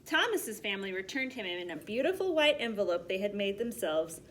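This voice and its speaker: A woman reading a children's story aloud expressively, pausing to explain things simply to young listeners. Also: medium pitch, sing-song, a little hiss or hum.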